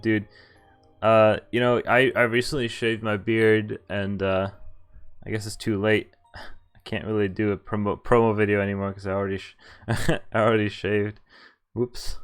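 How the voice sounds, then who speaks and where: A young man with a fairly low voice streams a visual novel. He reads the story text aloud, then drifts into loose, joking asides with some hesitation. Game music plays faintly behind him. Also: smooth. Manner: casual, jokey, hesitant asides. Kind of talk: casual live stream commentary mixed with read-aloud narration.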